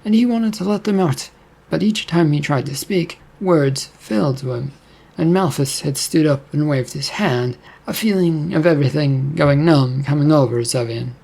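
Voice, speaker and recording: A man's voice: fairly high pitch, normal speed, creaky, a little mellow, breathy edge. A man reading scripted fiction as a narrator.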